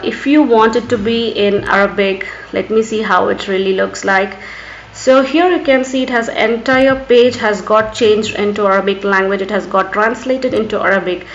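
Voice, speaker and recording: An echoey, slightly muffled recording with faint noise carries a woman's tutorial narration. She has an Indian accent, with Urdu as her first language, and talks without a script, repeatedly saying "actually" as she explains each step. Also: mid-range voice. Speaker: tutorial host. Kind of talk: screen tutorial narration, unscripted.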